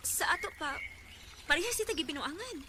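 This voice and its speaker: High, lilting, coy Cebuano ex-girlfriend. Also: animated, brisk. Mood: teasing, surprised. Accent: Filipino, Cebuano. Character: playful, coy Filipino ex-girlfriend. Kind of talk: radio drama acting. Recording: noisy radio drama with ambience.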